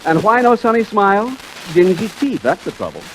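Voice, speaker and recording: A man's voice: high voice, big pitch swings, moderate pace, poor audio. A man announcing a commercial in 1940s radio style, upbeat and emphatic, on an old hissy recording.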